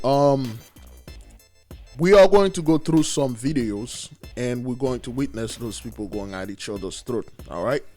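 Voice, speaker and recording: Raspy guy preaching on his own channel, leans hard on words, low music in the back. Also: darkish tone. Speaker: religious video host. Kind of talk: preaching commentary. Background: faint music.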